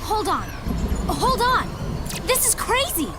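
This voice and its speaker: An actress playing an excitable, feisty young female ninja in a video game, urgent and exclamatory. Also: very high-pitched, slow pace, very noisy, exaggerated voice. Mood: alarmed. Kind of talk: animated video game cutscene acting. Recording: loud music over the voice.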